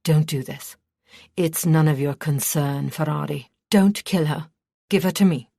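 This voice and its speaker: A woman's voice: low voice, unhurried, creaky, mellow, dry recording, clean studio sound, full character voice. A woman voicing a stern, icy lady of authority in an audiobook, blunt and imperious. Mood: firm, insistent.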